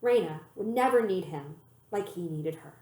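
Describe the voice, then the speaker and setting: A woman reading a children's novel aloud as an expressive, animated storyteller. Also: on the low side, normal speed. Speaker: librarian reading a book aloud. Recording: roomy, echoey room sound.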